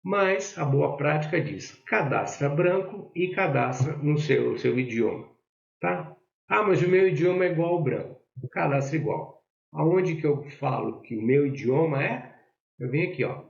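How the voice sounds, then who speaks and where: Man with a gravelly voice, echoey room. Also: on the high side, lively intonation, unhurried. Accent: Brazilian Portuguese. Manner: explanatory, keeps checking in with "tá?". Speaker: software instructor. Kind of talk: screen-share software tutorial. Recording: echoey, dull lo-fi audio.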